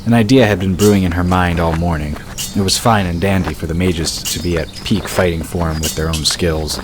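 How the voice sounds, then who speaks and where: A man narrating a fantasy audio drama in a steady, even storytelling style. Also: sits low, moderate pace. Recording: noisy home recording.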